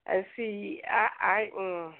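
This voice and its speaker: A middle-aged woman hosting a prayer show, hoarse from a cold, chatting with listeners in a folksy, direct way. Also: mid-range voice, steady tone, takes it slowly. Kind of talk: casual prayer show chat. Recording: tinny phone line, dry.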